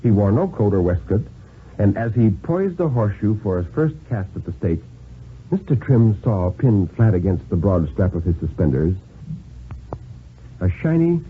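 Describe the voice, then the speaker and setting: A thin, band-limited 1950s radio recording carries a man reading a thriller story. His delivery is deliberate and dramatic, with a lively, wide-ranging pitch that shapes each phrase. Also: phone-quality sound, a little hiss or hum.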